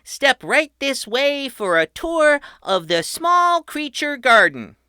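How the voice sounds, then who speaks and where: A woman voicing an excited young boy who shows off his inventions, calling out like a showman. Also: slow pace, no echo, studio quality, full character voice. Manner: shouty and showman-like, booming announcements.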